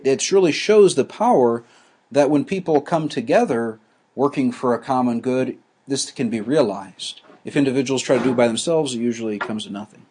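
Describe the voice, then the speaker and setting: Husky-voiced man giving a halting lecture. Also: medium pitch, a little mellow. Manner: halting, many restarts and fillers. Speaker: teacher.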